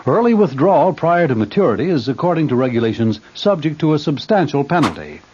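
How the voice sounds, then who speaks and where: A man's voice: on the high side, sing-song, fast talker, darkish tone, slight background noise. A man reading a scripted vintage radio commercial as an announcer. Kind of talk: scripted vintage bank advertisement read. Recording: muffled old radio broadcast.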